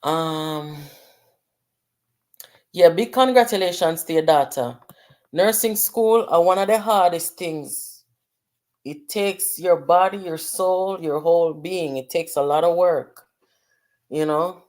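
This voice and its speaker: Low-voiced Jamaican woman chatting on a livestream. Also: takes it slowly, long pauses, darkish tone. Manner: chatty, mixes patois and English, reads comments aloud. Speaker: livestream host. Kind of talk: casual livestream chat with viewers.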